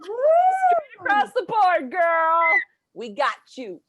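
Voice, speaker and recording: A woman's voice: extremely high pitch, huge pitch swings, slow pace. A woman bantering as a panelist in an improvised comedy game show, on a very noisy, clicky recording.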